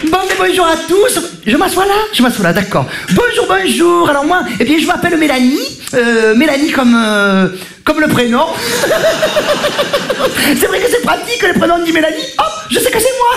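A man's voice: extremely high pitch, big pitch swings, moderate pace, vocal fry, over music, full character voice. A man playing a ditzy young woman contestant from Provence with a southern French accent, giggly and rambling. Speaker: game show contestant. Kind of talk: comedy sketch. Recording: echoey room with loud ambient noise.

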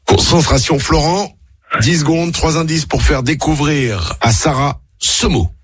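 A man hosting a radio show, bantering informally and teasingly and running games with animated delivery. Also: fairly high pitch, a little slow, husky. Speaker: radio host. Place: radio studio. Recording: radio studio with music behind.

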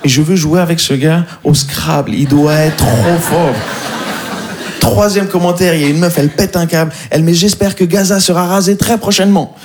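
A man doing stand-up comedy on a club stage, telling stories with animation and slowing down for punchlines. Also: fairly high pitch. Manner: animated storytelling, slows down for punchlines.

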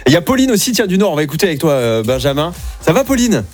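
An energetic man hosts a radio studio show, rattling off lines at a rapid clip. His voice sits a little high, and there is playful banter in how he talks. Faint music plays under him. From southwest France (Landes). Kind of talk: upbeat evening radio show hosting.

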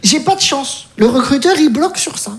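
High-voiced woman doing stand-up. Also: fairly quick. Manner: animated storytelling, acting out dialogue. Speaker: stand-up comedian. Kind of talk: stand-up routine. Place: comedy stage. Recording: noisy live recording.

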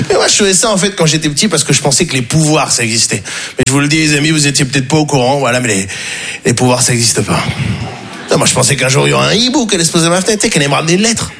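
A man's voice: on the high side, lively intonation, very fast, creaky, a bit bright, husky. A male stand-up comedian telling stories in a casual, slangy, self-mocking way, in a noisy live stage recording.

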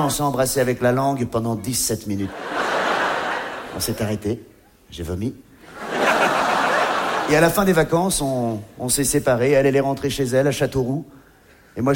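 A man's voice: medium pitch, brisk, pauses often. A man performing a comic monologue, conversational and mock-earnest, often interrupting himself as he tells his story. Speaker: stand-up comedian. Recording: clear background noise.

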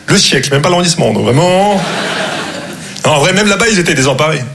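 A man's voice: fairly high pitch, animated, fast, vocal fry, background noise. A man from Morocco, native Arabic speaker, performing self-deprecating stand-up with posh, old-fashioned diction and a throaty, muffled resonance. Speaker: stand-up comedian. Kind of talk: conversational stand-up comedy monologue. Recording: live comedy stage, loud audience laughter. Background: laughing crowd.

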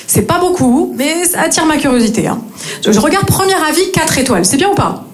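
A woman's voice: sits high, big pitch swings, a little fast. A woman in her thirties from northeastern France delivering a chatty, self-mocking stand-up monologue on stage, with frequent questioning tags to her listeners. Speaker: stand-up comedian. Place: live stage before an audience. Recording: live room with audible audience. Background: audience.

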